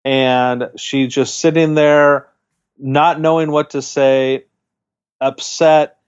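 A man's voice: medium pitch, level tone, takes it slowly, smooth. A man co-hosting a podcast, recapping a reality dating show in casual chat and often restarting his sentences. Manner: rambling, restarts mid-sentence. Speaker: podcast co-host. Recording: dull lo-fi sound.

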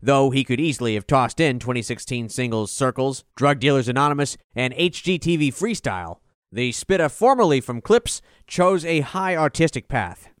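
A man's voice: medium pitch, animated, moderate pace, clear, resonant tone, no room sound, studio quality. A man reading a scripted review as narration, in an articulate, formal delivery. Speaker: album review reader.

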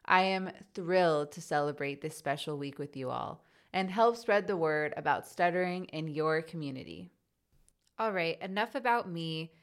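A woman's voice: low-pitched, normal speed. A woman from San Francisco hosting a podcast, reading upbeat announcements about a stuttering advocacy campaign.

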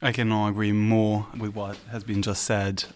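Male podcast guest, lowish pitch, thoughtful and searching delivery. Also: little pitch movement, moderate pace. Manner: hesitant, searching for words. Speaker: art curator, podcast guest. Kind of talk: reflective interview talk.

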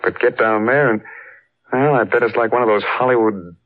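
A man's voice: medium pitch, normal speed, lightly acted. A man playing a tender, awed, folksy young sweetheart in 1940s radio acting style. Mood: awed, teasing. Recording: muffled 1940s radio recording.

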